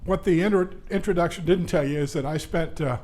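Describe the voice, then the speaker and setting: Older guy giving a conference talk on a mic, loud voice, a bit high, lots of uhs. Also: noisy background. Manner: loud, brisk, conversational lecturer with frequent "uh" fillers. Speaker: labor law attorney presenting a seminar. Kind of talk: conference presentation. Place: conference session room. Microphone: handheld or stand microphone.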